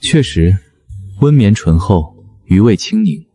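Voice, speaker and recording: Mellow, low, aloof chancellor played by a man. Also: animated, unhurried, faint music behind. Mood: courteous, wry. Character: aloof, teasing male chancellor, secretly a rabbit spirit.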